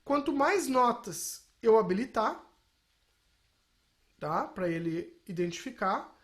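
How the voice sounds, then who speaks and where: A woman speaks in a somewhat high-pitched voice. She teaches in an informal, explanatory way, like a music technology instructor walking through software. She often adds short tag questions to check that listeners follow. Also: very animated, slow. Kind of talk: informal spoken software lesson.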